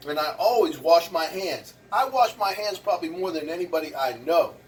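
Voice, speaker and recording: A man's voice: on the high side, moderate pace, slight background noise. Older man, sixties, rambling kitchen vlog chat, slightly distant mic, faint clinking dishes behind. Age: 60s. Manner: rambling, chatty, animated asides to the viewer. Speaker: vlogger and motivational speaker. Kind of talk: casual chat while cooking in a kitchen. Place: home kitchen.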